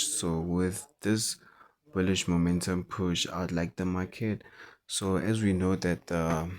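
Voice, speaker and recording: A man who is not a native English speaker, talking through forex charts in a halting way and repeating words as he goes. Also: sits low. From South Africa. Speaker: forex trader. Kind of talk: chart analysis commentary.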